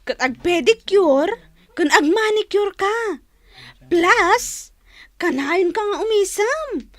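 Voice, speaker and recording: Theatrical young woman, radio comedy: slow, swooping, coaxing delivery at very high pitch. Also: expressive, no room sound, full character voice. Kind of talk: comic radio drama acting.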